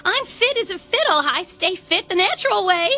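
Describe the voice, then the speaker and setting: A woman's voice: very high-pitched, expressive, moderate pace, pushed and bright, heavily acted. An actress playing a vain, theatrical, gushing female morning television host in a radio drama. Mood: flustered, indignant.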